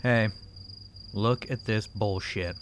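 A man's voice: fairly low pitch, slow pace, noisy background. Man acting a grumbling small-time video maker. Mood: irritated, sulky. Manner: grumbling, casual. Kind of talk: naturalistic audio drama acting.

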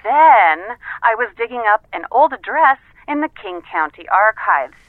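A woman's voice: a little high, sing-song, measured pace, dry recording. A woman playing a wry, efficient detective's assistant, clipped and matter-of-fact, heard through a telephone filter in an audio drama. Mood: exasperated, sardonic. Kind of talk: naturalistic audio drama dialogue. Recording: tinny, narrow phone line.

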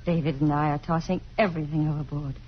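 A woman's voice: low-pitched, steady tone, quick pace, slightly rough. An actress playing a romantic, idealistic woman in love, ardent and playful in a theatrical old radio drama style. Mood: defensive. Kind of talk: 1950s radio drama acting. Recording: muffled old radio broadcast.

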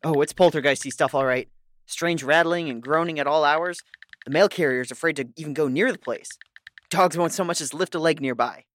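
A man's voice: fairly high pitch, a little fast, a bit bright, natural voice. A man playing an enthusiastic, nerdy young ghost hunter in a casual audio drama scene. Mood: excited.

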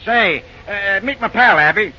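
A man's voice: sits high, animated, measured pace, bright, forceful tone, exaggerated voice. An actor playing an easygoing, talkative drifting railroad man with rustic, folksy speech in an old-time radio drama. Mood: hearty. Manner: folksy backwoods speech, drawling rustic grammar. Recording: noisy, dull early radio recording.

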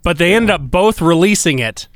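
A man co-hosting a casual movie podcast who shares trivia in a brisk, explanatory way. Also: high voice, lively intonation, dry recording. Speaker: podcast co-host. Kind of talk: casual movie review chat.

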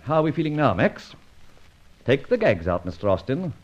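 An actor playing a suave, commanding gentleman adventurer in an old radio drama, urbane, theatrical and sardonic. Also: mid-range voice, sing-song, moderate pace, noisy background, lightly acted. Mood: confident, amused. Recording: dull old radio recording.

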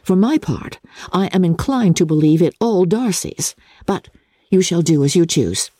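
A woman voicing a witty, candid, spirited young English gentlewoman in a novel reading, with a wry, teasing, articulate manner. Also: lowish voice, expressive, measured pace, dry recording, clean studio sound. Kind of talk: dramatic classic novel reading.

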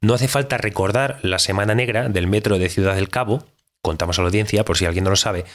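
A man's voice: fairly low pitch, fast, a bit bright. A man playing a direct, insistent radio interviewer in a scripted audio drama, speaking. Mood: pressing. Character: direct, probing male radio interviewer with no-nonsense questions. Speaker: podcast host interviewing an astronaut. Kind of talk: scripted fictional interview show.

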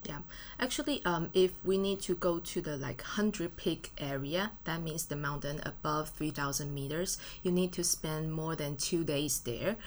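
A woman's voice: sits low, moderate pace, a little hiss or hum. A woman from Taiwan, answering as a studio guest, speaking English casually with fillers and grammar. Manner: friendly, casual, uses fillers and non-native grammar. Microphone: studio mic.

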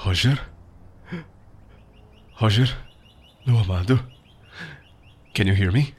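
An actor playing a desperate, loving husband calling to his faraway partner in a husky voice in an audio drama. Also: on the low side, slow pace, darkish tone. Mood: desperate, tender.

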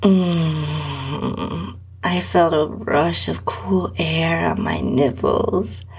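A woman narrating intimate erotic fiction in a sultry, lingering, breathy voice that often drops to a whisper. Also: low voice, very slow. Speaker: podcast host reading own story. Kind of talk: slow, intimate erotica narration in the first person.

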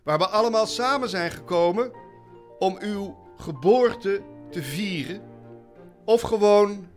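A man's voice: sits high, animated, takes it slowly, soft background music. An actor playing a timid, pious man who speaks with hesitant solemnity in a live audio drama.

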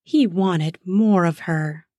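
A woman narrating an erotic audio story in a slow, sensual way, lingering on words for emphasis. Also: fairly low pitch, darkish tone, no echo, clean studio sound. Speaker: storyteller, podcast host.

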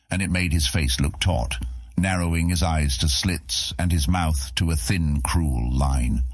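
A man narrating a fantasy audiobook as a scripted story, with a gravelly, husky voice. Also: rumbling low, dry recording, studio quality.

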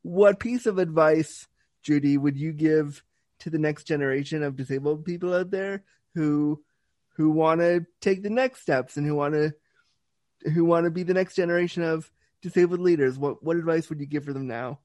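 A man hosting an interview, talking in an animated, chatty way with frequent restarts. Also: a little high, moderate pace, no echo. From Canada. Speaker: interview host. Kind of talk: casual conversational interview.

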